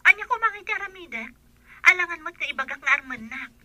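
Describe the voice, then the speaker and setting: An earnest overseas worker mom in a radio drama, very bright sharp voice, close and dry. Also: female voice, high-pitched, expressive, fast, no room sound, exaggerated voice. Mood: earnest, defensive. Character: earnest working woman abroad, devoted mother.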